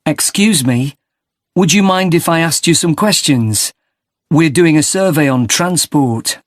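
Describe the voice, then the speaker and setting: A man's voice: on the high side, measured pace, dry recording, clean studio sound, close to an everyday voice. A man playing a polite, sympathetic street interviewer asking transport survey questions in a scripted listening-test dialogue.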